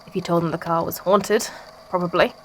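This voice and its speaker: A woman's voice: fairly low pitch, steady tone, brisk, slight background noise, lightly acted. A woman playing a sardonic, skeptical friend in an amateur audio drama, speaking in a blunt, casual, exasperated way. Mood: dryly amused.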